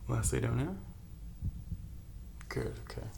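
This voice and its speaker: A soft-spoken man with heavy vocal fry plays a reassuring doctor for ASMR. His delivery is hushed and unhurried, sometimes close to a whisper. There is clear noise behind the close-miked voice. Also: medium pitch. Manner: gentle, reassuring, unhurried bedside talk. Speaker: ASMR performer playing a doctor. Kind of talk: softly spoken ASMR medical roleplay.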